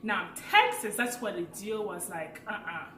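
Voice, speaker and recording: A woman in her late twenties from Africa, with a French accent, chatting casually as a vlogger and telling a personal story with lively, laughing energy. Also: medium pitch, moderate pace, roomy sound, recorded from a distance, slight background noise. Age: late 20s. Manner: chatty and animated, laughs while telling stories.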